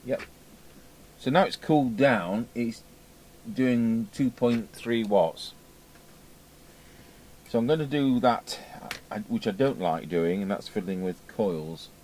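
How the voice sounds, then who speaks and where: A man's voice: mid-range voice, lively intonation, takes it slowly, pauses often, darkish tone, rough recording. A man giving a casual hands-on repair demonstration as a technician, thinking aloud as he works. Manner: muttering to himself, thinking aloud while working. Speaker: radio repair technician presenting. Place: indoors at a repair workbench. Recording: clear background noise, workshop ambience.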